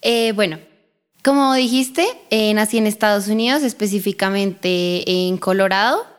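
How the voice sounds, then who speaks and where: A young woman in her twenties with a Bogotá accent, talking as a singer guest in a casual interview. She rambles in a bubbly way, full of fillers and little laughs, at a middle pitch. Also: normal speed, clean tone. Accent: Bogotá Spanish. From Colombia. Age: 20s.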